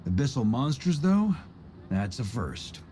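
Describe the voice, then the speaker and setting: A man's voice: medium pitch, sing-song, measured pace, mellow, slight background noise. A man playing a curious, confident young traveler in a video game, speaking casually and expressively. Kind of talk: game voice acting. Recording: game music behind.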